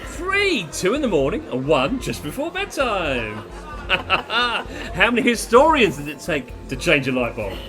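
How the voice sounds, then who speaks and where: An actor playing a cheeky, jovial friend telling jokes like a pub comic in a radio play. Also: male voice, sits high, wildly swooping pitch, measured pace, sharp tone, soft background music, full character voice. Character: cheeky joke-telling male friend Eamon.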